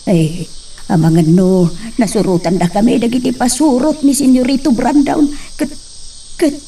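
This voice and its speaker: A woman's voice: on the high side, fairly even intonation, normal speed, warm tone, recorded from a distance, slight background noise, natural voice. A woman acting an anxious, watchful villager in an Ilocano drama, with an Ilocano accent. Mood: nervous, uneasy.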